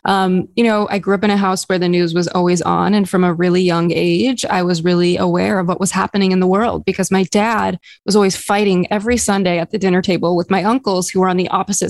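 A woman's voice: on the low side, vocal fry, dry recording, clean studio sound. A woman in her thirties, a career coach, telling her own story candidly and conversationally as an interview guest. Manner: candid, conversational, quick bursts.

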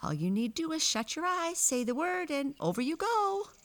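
A woman plays a tiny storybook trickster of a man, pitched very high. He is gleeful and teasing, with chuckling, sing-song taunts. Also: big pitch swings, normal speed, a bit bright, full character voice.

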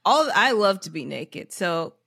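A woman's voice: mid-range voice, big pitch swings, unhurried, vocal fry, pushed and bright, no echo, studio quality. A woman co-hosting a podcast in casual chat, chiming in briefly and matter-of-factly. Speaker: comedy podcast co-host.

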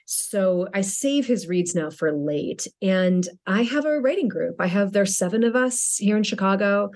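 A woman's voice: mid-range voice, expressive, measured pace, a little mellow. A middle-aged woman author answering interview questions in a chatty way, often restarting her sentences and laughing lightly.

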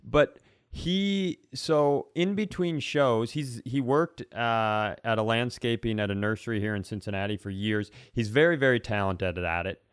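A man with a clear, smooth voice and a dry, close sound. He tells stories casually as a comedy podcast host, in animated bursts broken by pauses. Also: medium pitch, dry recording. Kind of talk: casual comic storytelling.

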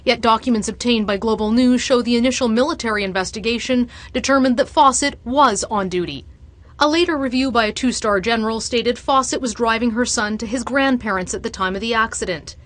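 A woman's voice: fairly high pitch, a little fast, a bit bright. A woman with a Canadian accent reading scripted news narration as a reporter.

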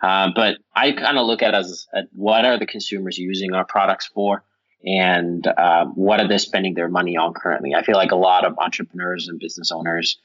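A man from Finland speaks as an interview guest, and his voice sits very low. He talks in a loose, rambling way, with plenty of ums and restarts. The recording sounds a little muffled and lo-fi. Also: brisk. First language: Finnish. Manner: relaxed, rambling with fillers and restarts. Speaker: company founder, guest. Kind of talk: casual interview answers.

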